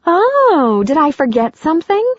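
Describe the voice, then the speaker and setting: A woman voicing a warm, anxious middle-aged woman in an audiobook, talking brightly and quickly. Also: big pitch swings, no room sound. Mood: eager. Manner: bright and eager, higher than her narration. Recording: dry, dull lo-fi audiobook recording.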